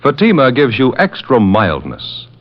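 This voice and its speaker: A mid-pitched man announces an old radio advertisement with big, swooping intonation. He is polished and emphatic, and the audio is slightly muffled. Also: huge pitch swings, unhurried. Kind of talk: scripted 1950s cigarette sales pitch. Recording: muffled vintage radio broadcast.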